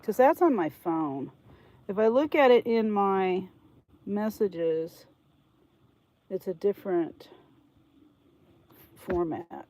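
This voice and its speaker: A woman's voice: mid-range voice, animated, takes it slowly, long pauses, warm tone. Congested older woman rambling casually. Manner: rambling, thinks aloud, restarts sentences. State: congested with a head cold. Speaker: vlogger. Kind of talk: casual rambling chat to camera.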